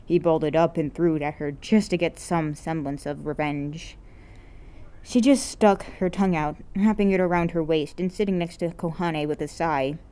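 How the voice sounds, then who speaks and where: A woman's voice: low voice, steady tone, moderate pace, dark tone, smooth. A woman reading scripted fiction aloud as an amateur narrator. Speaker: amateur podfic reader.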